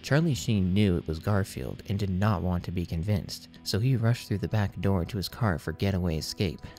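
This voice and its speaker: A man's voice: low-pitched, moderate pace, darkish tone, soft background music. A man narrating absurd fan fiction as a scripted audiobook reading. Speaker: audiobook reader.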